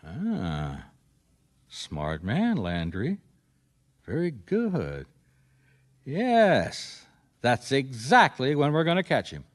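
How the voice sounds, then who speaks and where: An actor playing a wry, steady private detective in a radio mystery, with a casual, clipped delivery. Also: male voice, mid-range voice, drawn-out words, pauses often, exaggerated voice. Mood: sly, confident. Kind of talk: radio mystery drama acting.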